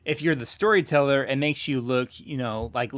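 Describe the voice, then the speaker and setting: A man with an average-pitched voice talks as a podcast co-host. His delivery is conversational and informal, with halting phrasing as he rewords his points along the way. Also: fairly bright tone. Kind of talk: casual conversational discussion of storytelling.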